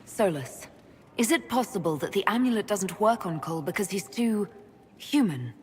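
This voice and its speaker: A woman's voice: mid-range voice, lively intonation, moderate pace, a little hiss or hum, natural voice. An actress playing a pragmatic woman leading an order, talking directly and practically.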